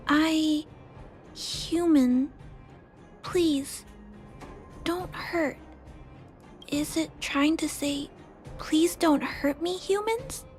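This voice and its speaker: A woman voicing a gentle, curious young girl in video game story dialogue, hesitant and thoughtful. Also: sits high, very slow, pauses often, faint music behind. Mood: hesitant, puzzled.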